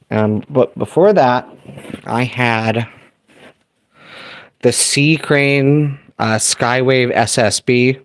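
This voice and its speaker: A young man with a gravelly, creaky voice walks through his radio gear at home. He talks casually and jokes as he explains each step. The sound is dry and close, with no room around it. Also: mid-range voice, dry recording. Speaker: radio hobbyist vlogger. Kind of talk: casual show-and-tell.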